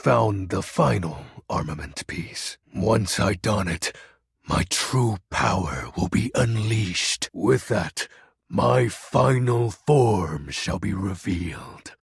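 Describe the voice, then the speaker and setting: Brooding dark lord, grandiose, slow delivery, very raspy, archaic theatrical phrasing, video game villain style. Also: male voice, lowish voice, expressive, no room sound, clean studio sound, heavily acted. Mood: solemn. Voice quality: gravelly, husky. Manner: pompous, archaic, melodramatic. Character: grandiose brooding shadow lord, personification of darkness.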